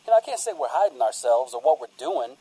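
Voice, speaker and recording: Man acting as folksy cult priest, earnest, easygoing, rambling, mellow mid-range voice, radio comedy. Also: medium pitch, very animated, quick pace, slightly rough, low-quality recording. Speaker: guest on a radio show. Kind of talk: comedic radio-play interview.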